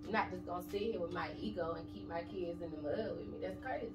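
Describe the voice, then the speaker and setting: A woman's voice: fairly low pitch, fast talker, music in the background. Woman, thirties, blunt and emphatic, casual interview, roomy echo, mic set far off. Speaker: interview guest. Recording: echoey room, distant mic, noisy background.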